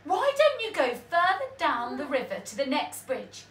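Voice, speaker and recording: A woman voicing a tiny, frightened spider from a storybook in a very high, squeaky, drawn-out voice. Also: wildly swooping pitch, a bit bright, exaggerated voice. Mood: persuading. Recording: echoey room, mic at a distance.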